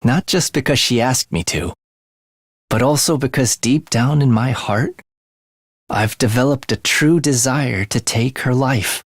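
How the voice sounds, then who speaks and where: An actor playing a morbid young man in a video game, a soft-spoken killer who is languid, menacing and gleefully excited by death. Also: medium pitch, unhurried, no echo, clean studio sound, close to an everyday voice. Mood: brooding, detached. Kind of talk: theatrical video game voice acting.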